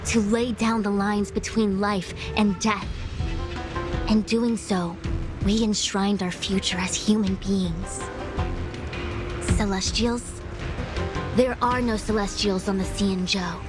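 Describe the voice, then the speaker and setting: An actress playing a dutiful, authoritative master diviner in a video game, with formal, measured, commanding speech. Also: female voice, medium pitch, fairly even intonation, music in the background, close to an everyday voice. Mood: contemptuous, firm. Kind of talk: dramatic English-language video game voice acting.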